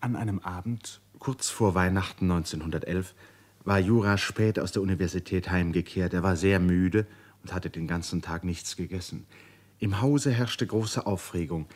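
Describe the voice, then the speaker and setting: Deep, husky male radio drama narrator. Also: moderate pace, a little mellow.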